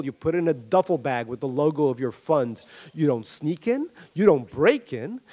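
Man voicing a measured, reproachful wealthy man, somewhat high and lively.

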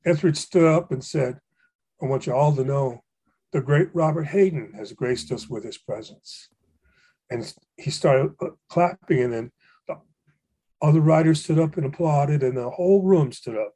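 An older man from Hawaii telling stories about fellow poets in a relaxed conversation, with frequent fillers and restarts. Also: a little high, moderate pace, husky. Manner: rambling, many fillers and restarts, warm anecdotes. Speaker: poet and guest. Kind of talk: reflective storytelling conversation.

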